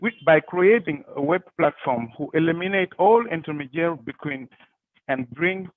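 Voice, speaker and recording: Over a thin, phone-like and dry call line, a Senegalese-accented man delivers a prepared startup pitch. His voice sits a little high as he presents as a founder. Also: lively intonation, normal speed, dry recording. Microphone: video call.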